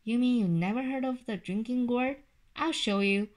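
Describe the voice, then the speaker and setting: A woman's voice: a little high, moderate pace, darkish tone, natural voice. A woman reading as a cocky little boy. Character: bold, knowing little boy.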